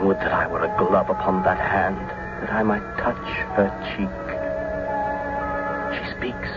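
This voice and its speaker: Young nobleman in love, low poetic voice, old muffled radio play w music behind. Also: fairly even intonation, a little slow. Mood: adoring. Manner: romantic, declamatory, poetic. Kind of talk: classical stage-style radio drama acting.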